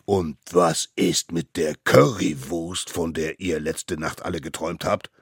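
A man voicing three stern old mammoth judges who speak slowly and pompously. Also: a bass voice, fairly even intonation, breathy edge, dry recording, clean studio sound, heavily acted.

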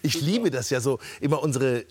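A man with a slightly husky voice hosts a talk show. He chats in a relaxed, enthusiastic way with his guests and leans on fillers as he goes. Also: medium pitch, normal speed. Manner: chatty, enthusiastic, lots of fillers. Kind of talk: casual chat show interview.

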